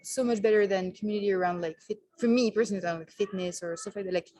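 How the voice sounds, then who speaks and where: A woman's voice: mid-range voice, very fast, warm tone, no room sound. A young woman with a mid-range voice chats in a bubbly, eager way, leaning on fillers. The sound is close and dry, with no room in it. She is a language teacher talking with a colleague. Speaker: French teacher. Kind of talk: casual conversation.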